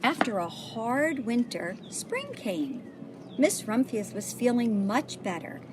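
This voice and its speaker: A woman reading a children's picture book aloud, pausing to point things out to young listeners. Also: fairly high pitch, big pitch swings, background noise. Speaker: storybook reader.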